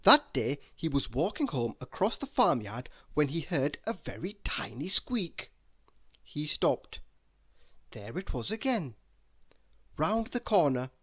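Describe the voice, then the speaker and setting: Children's read-aloud narrator: bright tone, animated pitch, slow and deliberate delivery. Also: slightly rough. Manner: deliberate, expressive storytelling. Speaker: storybook reader.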